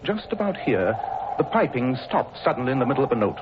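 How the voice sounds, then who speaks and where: An actor playing a calm, learned detective who talks in a measured, lecturing way with dry amusement, in a 1940s radio play. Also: male voice, mid-range voice, natural voice. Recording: dull old radio recording with hiss.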